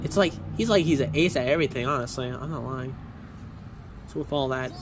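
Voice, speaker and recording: A teenage boy casually commenting on short videos outdoors, excitable and exclaiming, with stumbling restarts. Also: mid-range voice, a little fast, noisy background. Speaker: reaction video host. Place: outdoors on a patio by a pool. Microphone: phone camera pointed at a laptop.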